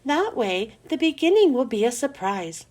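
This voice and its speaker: Woman as brisk, high-voiced schoolteacher. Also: big pitch swings, darkish tone. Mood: encouraging. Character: brisk female schoolteacher in a storybook, firm, questioning.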